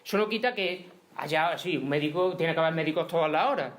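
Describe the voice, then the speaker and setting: A man's voice: on the high side, a little fast, a bit bright. A middle-aged man from Spain speaking at a conference in a hall, emphatic and argumentative, often ending points with tag questions. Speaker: union speaker. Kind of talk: conference talk.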